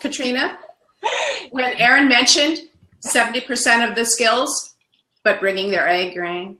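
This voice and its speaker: A woman with a bright, forceful voice lays out her points firmly, one after another. She sounds like she is in a bare, echoing room on a video call. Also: fairly high pitch, fairly even intonation, measured pace. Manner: emphatic, lists points firmly. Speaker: panelist. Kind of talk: casual panel chat. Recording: roomy echo.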